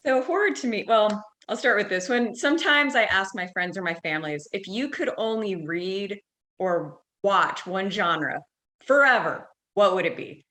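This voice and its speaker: A woman's voice: medium pitch, expressive, moderate pace, a bit bright. A woman giving her opinion casually as a podcast guest.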